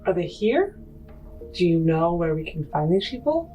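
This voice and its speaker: A woman's voice: on the low side, sing-song, moderate pace, dark tone, dull audio, soft background music. A woman playing a tabletop role-playing game, speaking casually in character.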